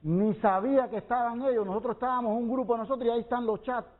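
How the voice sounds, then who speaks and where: A Cuban man argues defensively on a debate panel. He talks fast in a very high male voice, insisting on his point. The recording is dull and narrow. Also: steady tone. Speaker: debate guest.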